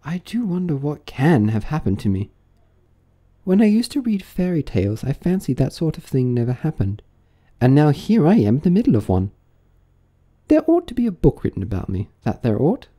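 A curious little girl from a storybook, acted out by a man, with a raised and very lively pitch. She is prim and chatty, musing and questioning herself as she goes. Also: moderate pace.

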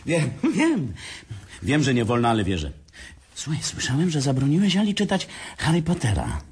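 A man's voice: medium pitch, huge pitch swings, normal speed, darkish tone, breathy edge, full character voice. An actor playing a cheeky hard-drinking man who jokes and schemes with his brother, in a playful, teasing manner. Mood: mischievous. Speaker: brother, Adam. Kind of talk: amateur radio drama acting.

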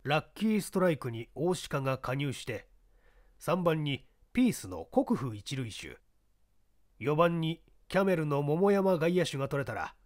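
推理小説を芝居がかった調子で朗読する男性ナレーター。. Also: male voice, on the high side, big pitch swings, unhurried, dry recording, clean studio sound. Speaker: audiobook narrator. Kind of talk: dramatic scripted narration of a mystery story.

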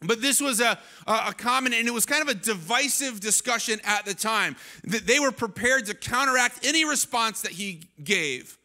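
A man in his thirties preaching a sermon on a church stage into a handheld mic, animated and often restarting his phrases. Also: very high voice, moderate pace. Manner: animated, gestures while preaching, many restarts. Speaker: pastor. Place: large church stage, indoors. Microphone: handheld microphone.

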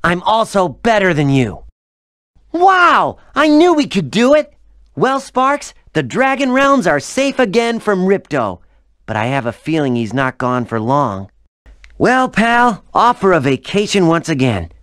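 An actor playing a plucky young dragon hero in a video game, boyish and cheeky. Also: male voice, sits high, very animated, measured pace, a bit bright, no echo, clean studio sound, full character voice. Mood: cocky. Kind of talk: animated video game character acting.

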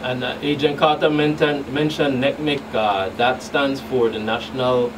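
Male law enforcement guest, mid pitch, explanatory and halting, with clear background noise. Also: fairly even intonation. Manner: halting, repeats words. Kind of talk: explanatory radio interview answers. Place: small radio studio. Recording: noisy, distant mic with room hum.

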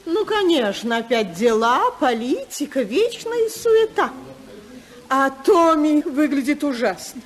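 An actress playing a fussy, disapproving older society matron in a 1950s radio play. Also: female voice, high-pitched, big pitch swings, slow, full character voice. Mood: fretful, fussy. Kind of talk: 1950s radio drama acting.

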